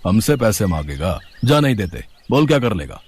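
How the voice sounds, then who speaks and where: A man's voice: on the low side, very animated, normal speed, breathy edge. A man playing a rowdy, menacing street thug who talks rudely and demandingly in a story. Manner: rude, demanding.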